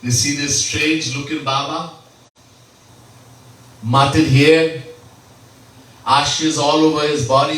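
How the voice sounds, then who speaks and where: A man, a swami, giving a slow devotional sermon at a home gathering, repeating key phrases and mixing in Hindi terms. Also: on the high side, a bit bright. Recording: very echoey room, mic far off.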